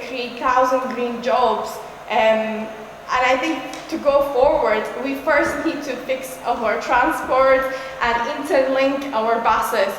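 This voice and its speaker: A woman's voice: high voice, a little hiss or hum. Earnest woman speaking in an echoey hall. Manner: earnest, halting with many fillers. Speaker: speaker at a climate rally. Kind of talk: political speech. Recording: echoey hall, roomy reverb.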